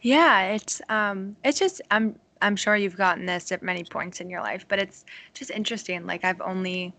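A woman's voice: mid-range voice, normal speed. Curious American woman hosting a podcast. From Connecticut, United States. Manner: warm interjections, curious questions. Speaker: podcast host. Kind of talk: casual interview conversation.

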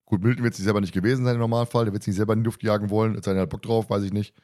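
A man's voice: on the low side, steady tone, racing, dark tone. Casual man, colloquial podcast chat. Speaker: podcast co-host. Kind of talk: casual discussion of an audio drama.

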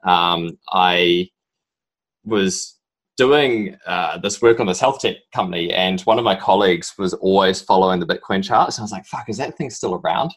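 A young man with a strong New Zealand accent and a very low, deep voice. He speaks as a podcast guest in relaxed conversation, stopping and restarting often and padding his story with ums. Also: husky. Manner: halting, rambling storytelling full of ums. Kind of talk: casual interview talk.

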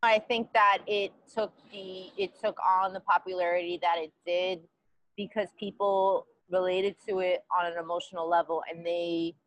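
Chatty woman from Queens, relaxed and casual. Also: fairly low pitch, normal speed, dry recording, rough recording. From Queens, New York. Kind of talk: casual interview.

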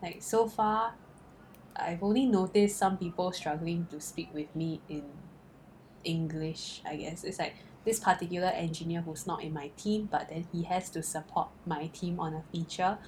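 A woman's voice: on the low side, steady tone, moderate pace, a little mellow, slight background noise, poor audio. A young adult woman with a Singaporean accent, co-hosting a podcast in casual chat, teasing and laughing often. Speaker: podcast co-host.

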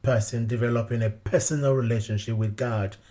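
A man, a pastor answering interview questions in a measured, earnest way, often saying "you know". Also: mid-range voice, fairly even intonation, mellow. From Nigeria.

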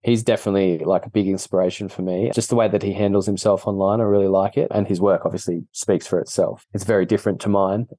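An Australian man talks as a relaxed interview guest, often laughing and calling people mate. His voice is low and mellow, and the sound is dry and close. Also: quick pace, hardly any pauses, no room sound. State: injured foot, on painkillers. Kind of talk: casual interview chat.

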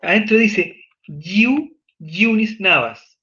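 A Chilean man with a notably high voice talks in a loose, joking, slang-filled way as he hosts a casual chat. The recording sounds dull and lo-fi. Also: lively intonation, slow pace, bright, forceful tone. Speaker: podcast host. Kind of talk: casual chat reading viewer comments.

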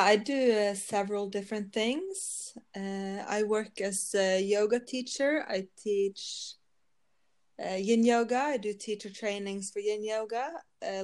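A woman's voice: medium pitch, a little mellow. A woman in her thirties from Norway, speaking English with a Norwegian accent as a podcast guest, easygoing with light laughs. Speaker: guest, yoga teacher and psychotherapist. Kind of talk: casual interview conversation.

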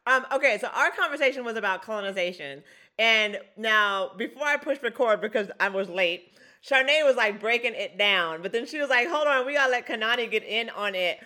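A woman's voice: a little high, expressive, a little fast, sharp tone. A woman hosting a podcast, talking in a chatty, effusive way with frequent restarts. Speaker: podcast host. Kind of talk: casual podcast conversation.

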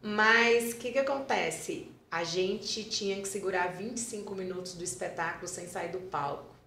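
A Brazilian woman who founded and teaches at a dance school chats in a podcast interview, telling animated stories and doubling words for emphasis. Her voice has a gravelly edge, and it echoes around the room with a faint hiss underneath. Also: medium pitch, moderate pace. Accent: Brazilian Portuguese.

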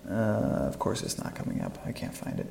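A man's voice: fast, a little mellow. A man co-hosting a podcast, chatting casually and conversationally about pop culture. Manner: informal, conversational. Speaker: podcast co-host. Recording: echoey, noisy home podcast audio.

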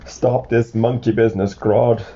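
Man reading as a resolute mellow comic-book superhero. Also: mid-range voice, flat delivery, measured pace, muffled recording, close to an everyday voice. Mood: resolute urgent.

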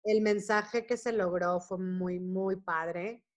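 A Mexican woman in her forties speaking casually as an interview guest, telling stories in an animated way and acting out bits of dialogue. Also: medium pitch, slow pace. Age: 40s. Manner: animated storytelling, often acting out quoted dialogue. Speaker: guest performer, singer and actress.

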